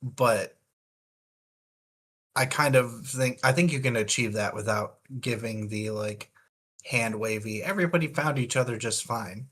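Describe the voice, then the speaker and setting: A man's voice: mid-range voice, measured pace, fairly bright tone. Man thinking aloud in casual book chat. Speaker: podcast co-host.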